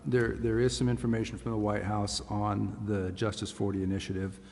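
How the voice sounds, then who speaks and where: A man's voice: lowish voice, little pitch movement, a little fast, vocal fry, a little mellow. A man giving a formal, polite briefing as a city official at a public meeting. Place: council chamber. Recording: reverberant hall, faint noise.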